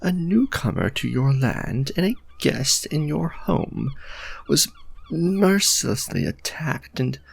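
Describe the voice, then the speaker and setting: A man playing a proud, ancient vampire lord in a gothic romantic roleplay, courtly and menacing, speaking in formal, grandiose phrases with breathy edges. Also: on the high side, sing-song, full character voice. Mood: wounded indignation. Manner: formal, archaic, grandiose, dramatic deliberate phrasing.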